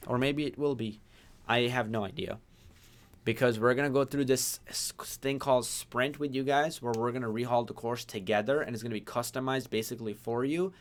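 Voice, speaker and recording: Young male course instructor from Pakistan, smooth clear voice, easygoing livestream host. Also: medium pitch, steady tone, moderate pace. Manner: chatty, reads viewer names and questions aloud. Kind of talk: casual livestream Q&A.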